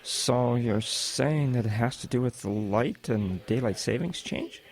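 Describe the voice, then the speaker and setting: A man's voice: mid-range voice, measured pace, lightly acted. A man playing a friendly, inquiring boss of a trucking crew in an audio drama. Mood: puzzled, probing.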